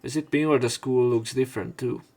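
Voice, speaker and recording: A man's voice: medium pitch, steady tone, fairly quick, darkish tone. A man reading visual novel narration aloud as a let's player, with casual commentary. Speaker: let's play streamer.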